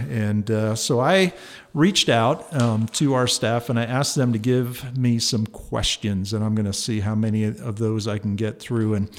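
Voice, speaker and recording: A man in his fifties from northern New Jersey, a pastor hosting his church's podcast, talking in a relaxed way with many ums and uhs. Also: mid-range voice. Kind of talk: casual church podcast monologue.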